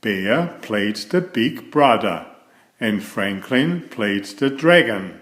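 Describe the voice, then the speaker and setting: A man reading a children's story aloud in a deliberate, expressive way. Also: lowish voice. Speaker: storybook narrator. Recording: echoey room.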